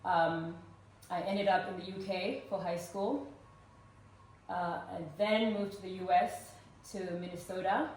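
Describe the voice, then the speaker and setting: A woman in her forties from Uganda speaks earnestly to a gathered audience, pausing often on "um". Her voice sits fairly low and reaches the mic from a distance. The room throws back a strong echo, with faint noise underneath. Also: fairly even intonation. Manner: earnest and warm, with frequent "um" fillers. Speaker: speaker at a fundraising event. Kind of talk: personal story told to a live audience. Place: event room with a live audience.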